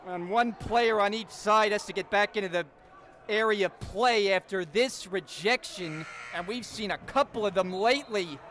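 A man calling a college basketball game play-by-play, getting louder and excited on big plays. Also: high voice, moderate pace. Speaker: play-by-play announcer. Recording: crowd and court noise behind the commentary.

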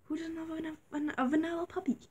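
A young woman vlogger chatting casually while unboxing toys, a little sick, her voice wearing thin, squealing excitedly at surprises. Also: very high voice, fast talker. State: slightly sick, voice going. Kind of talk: casual toy unboxing chat. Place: dim bedroom, indoors.

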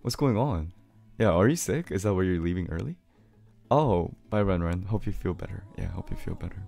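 Young guy streamer, low voice, chill, laughs a lot, pretty animated, soft music in back. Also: fairly quick, vocal fry. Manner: laid-back, laughs often, many fillers. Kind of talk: casual livestream chat reading comments. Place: small home room with keyboard. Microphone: studio mic on arm near face.

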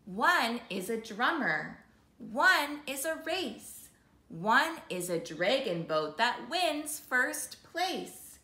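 A woman in her thirties hosting an interactive storytime, reading a picture book expressively and clearly for young children. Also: a little high, sing-song, extremely slow, sharp tone. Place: small living room, seated on a sofa. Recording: roomy indoor sound.

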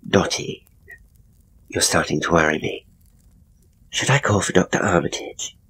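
A man voicing a skittish, anxious woman with a breathy, worried, questioning manner in a horror story. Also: low-pitched, measured pace, fairly bright tone, a little hiss or hum, rough recording. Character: skittish younger woman, anxious sister and secret conspirator.

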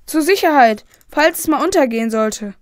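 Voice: sits high, lively intonation, moderate pace, no room sound, studio quality. A performer playing a cautious, sensible boy in a children's radio play. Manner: careful, questioning.

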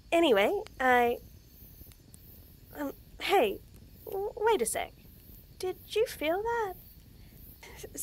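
A young woman voicing a shy, nervous tomboy friend in a romantic roleplay audio, stammering, giggling and speaking softly. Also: very high-pitched. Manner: stammering, giggly, soft-spoken. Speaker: voice actor.

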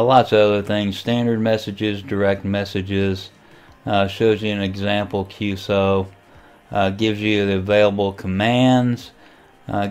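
A man giving a casual, unhurried screen tutorial, thinking aloud as he goes. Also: lowish voice, clean tone. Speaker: amateur radio tutorial host. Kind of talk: casual screen-recorded software walkthrough.

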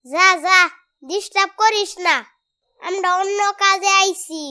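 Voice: extremely high pitch, fairly even intonation, a little slow, pushed and bright, full character voice. A performer voicing a cheeky, nosy little boy in a comedy cartoon, with a colloquial Bangladeshi accent and a pert, argumentative manner. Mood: bold, teasing. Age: child character. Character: cheeky young nephew, small nosy boy.

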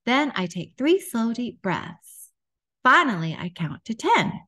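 A woman, middle-aged, reading a children's story aloud with expressive, deliberate delivery for young listeners. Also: fairly high pitch.

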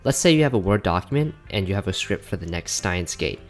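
A man giving a casual, plain-spoken scripted tutorial narration as a software developer explaining a tool. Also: sits low, lively intonation, a little fast. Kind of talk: scripted explanatory narration over screen recording. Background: background music with guitar.

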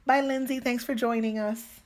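A woman's voice: a little high, steady tone, moderate pace. A woman from New York City with a New York accent and Spanish as her first language, chatting and laughing as she paints on a live stream. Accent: New York, Dominican Spanish-influenced. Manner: chatty, laughs often, talks while working. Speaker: painting tutorial host. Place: indoors at a home painting desk.

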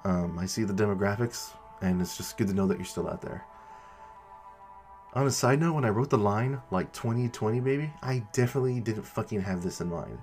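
Guy doing his podcast outro, low voice, casual and a bit sweary, music underneath. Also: brisk, darkish tone. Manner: casual, mild cursing. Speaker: podcast creator and host. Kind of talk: informal podcast outro announcement. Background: background music.

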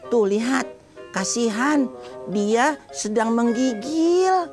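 Male children's storyteller, middle-aged, very lively swooping delivery, playful, music underneath. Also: very high-pitched, takes it slowly. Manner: playful, asks the children questions. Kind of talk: animated storytelling to young children. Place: TV studio set with seated children. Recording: music behind the voice.

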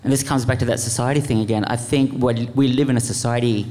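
A man speaking informally as a panelist, earnest and impassioned, in a halting, rambling way. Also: mid-range voice, fairly even intonation, dark tone. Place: large event venue, live panel. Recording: echoey hall with long reverb.

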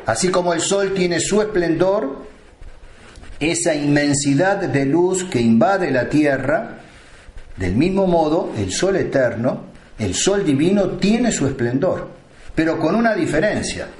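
A priest delivering a slow, solemn sermon with deliberate pauses. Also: male voice, on the high side, animated, darkish tone, reverberant.

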